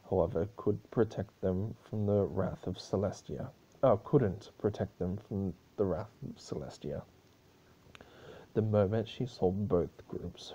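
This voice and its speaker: A man giving an amateur dramatic reading of a grim story, with a husky, gravelly voice and a halting, stumbling delivery. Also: sits low, mellow, highs cut off. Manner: stumbling, halting delivery with misreadings corrected mid-sentence.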